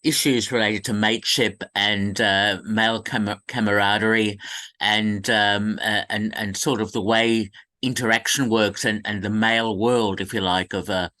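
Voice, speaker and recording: A man hosts an arts interview in a fairly low but bright, clear-cutting voice. He builds his questions conversationally, pausing and searching for the next phrase. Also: fairly even intonation. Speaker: interviewer, host.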